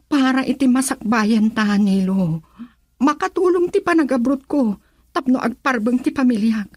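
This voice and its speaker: A woman's voice: a little high, expressive, normal speed, dry recording, clean studio sound. A woman playing an anguished young woman in love, pleading emotionally with a Filipino accent in a radio drama. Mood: tender, worried. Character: anguished young woman torn between lover and family. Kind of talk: Ilocano radio drama acting.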